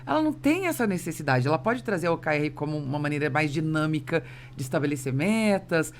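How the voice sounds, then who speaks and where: Fast-talking Brazilian woman hosting a podcast. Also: low voice, lively intonation, a little hiss or hum. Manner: emphatic, explanatory, interjects agreement. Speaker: podcast host and interviewer. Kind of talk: conversational business podcast talk.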